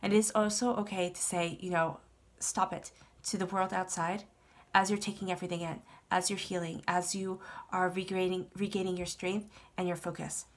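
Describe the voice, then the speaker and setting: A woman's voice: fairly low pitch, level tone, normal speed, few pauses. A woman reading tarot for her channel, congested with a cold, talking in a soothing, reassuring way and often repeating herself. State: stuffed up from a cold. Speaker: tarot reader. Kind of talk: tarot reading monologue to camera.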